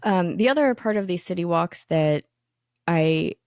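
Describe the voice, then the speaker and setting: A woman with a low, slightly gravelly voice talks in an easygoing, enthusiastic way, stopping often for ums and fresh starts. The sound is close and dry. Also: animated, measured pace, a little mellow, no room sound. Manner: chatty and enthusiastic, many ums and restarts. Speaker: magazine editor, co-host. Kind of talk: casual podcast conversation.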